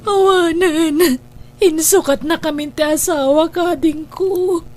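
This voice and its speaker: A woman acting as a troubled elder sister in an Ilocano radio drama. Her voice is high, with an Ilocano accent and a pleading manner, over background music. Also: steady tone, slow pace. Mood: tearful, pleading.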